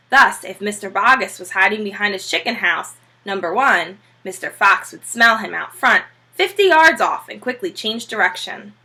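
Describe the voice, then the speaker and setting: A woman reading a children's book aloud as a teacher, expressive and emphatic, with brief asides to listeners. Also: mid-range voice.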